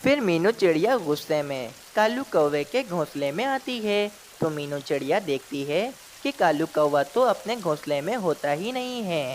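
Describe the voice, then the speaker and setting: A woman's voice: low voice, expressive, moderate pace, hardly any pauses, a little mellow, a little hiss or hum. A woman narrating a scripted children's story as its storyteller.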